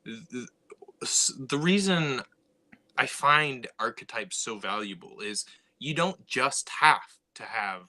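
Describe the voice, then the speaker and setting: A reflective man talks through ideas in a bright, tense voice at a mid pitch. He muses aloud and fills pauses with "you know". The sound is dry and close. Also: animated, dry recording. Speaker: writer and co-host. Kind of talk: casual podcast chat.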